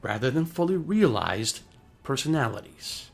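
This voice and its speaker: A man's voice: mid-range voice, very animated, moderate pace, creaky, faint music behind. A man reading scripted video essay narration in a polished, formal, explanatory way.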